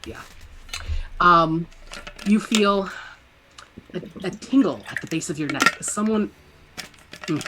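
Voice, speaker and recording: Female game master, laid-back and lightly amused, slightly low-pitched, narrating the scene. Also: animated, slow pace, long pauses, heavy background noise.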